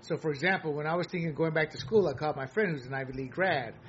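A man from Puerto Rico co-hosting a political commentary show, talking emphatically and getting loud when he makes a point. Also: fairly high pitch, rapid-fire. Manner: animated, emphatic, rising to near shouting when worked up. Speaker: co-host. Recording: dull lo-fi sound with faint noise.

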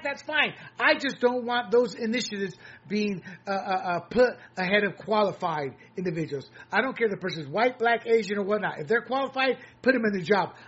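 A man from Puerto Rico co-hosting a political commentary show, talking emphatically and getting loud when he makes a point. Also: sits high. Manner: animated, emphatic, rising to near shouting when worked up. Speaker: co-host. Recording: dull lo-fi sound with faint noise.

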